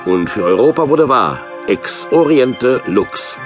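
A man playing a physician who narrates Bible adventures in a measured, reflective way for a children's audio drama. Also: mid-range voice, animated, music in the background. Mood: solemn, narrating.

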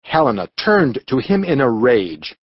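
Animated, clear male storyteller. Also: medium pitch, unhurried, no room sound, muffled recording. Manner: dramatic, expressive. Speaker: audiobook narrator. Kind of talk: scripted storybook narration.

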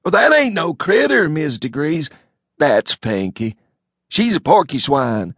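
Hick cousin read by a male narrator: raised, booming, folksy drawl, bouncing pitch. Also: sing-song, measured pace, a bit bright, slightly rough, no room sound, studio quality, full character voice. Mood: hearty, folksy. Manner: folksy drawl, ungrammatical country talk. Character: bumbling rural hick cousin, man, loud and folksy. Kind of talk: audiobook character voice.

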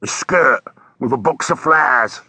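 A man performs a working-class cabbie in a story reading. He is hoarse and gravelly, with a forceful edge. He grumbles and curses in slang, thoroughly fed up. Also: medium pitch, unhurried, no echo, heavily acted. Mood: impatient. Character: irate, foul-mouthed working-class taxi driver, male. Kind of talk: audiobook character voice.

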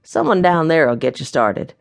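A woman's voice: deep voice, very animated, moderate pace, vocal fry, exaggerated voice. A woman voicing a friendly, businesslike man with a folksy, salesmanlike manner in a children's audiobook. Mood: welcoming, informative. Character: friendly businesslike man, owner of gem mine.

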